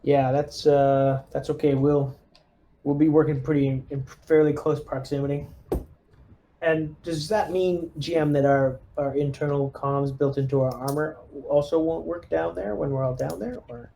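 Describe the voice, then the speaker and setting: A man chatting casually as a player in a tabletop game, speaking politely and haltingly. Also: fairly high pitch, steady tone, vocal fry, dark tone, poor audio. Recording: noticeable background noise.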